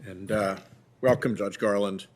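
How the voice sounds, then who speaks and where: A man, a senator opening his questioning at a hearing in a formal, measured way. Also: fairly low pitch, steady tone. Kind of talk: committee hearing remarks.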